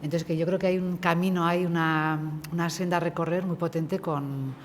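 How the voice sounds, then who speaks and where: A woman's voice: fairly low pitch, fairly even intonation, moderate pace, slight background noise. A woman in the audience giving an earnest, emphatic comment from the floor at a conference, thinking aloud and restarting her sentences. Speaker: audience member. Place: conference hall. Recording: reverberant hall with faint ambience.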